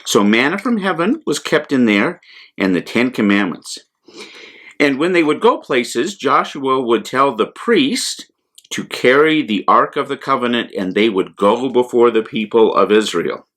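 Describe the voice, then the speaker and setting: An American man, a priest, telling a Bible story to children in simple words with deliberate repetition for emphasis. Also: mid-range voice, animated, a bit bright. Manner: simple, deliberate explanations with repeated phrases for emphasis.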